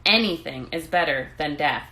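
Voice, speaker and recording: A woman voicing a small, practical female bird in a storybook, brisk, matter-of-fact and reassuring. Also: sits low, lively intonation, vocal fry, sharp tone, voice pushed down, full character voice, rough recording.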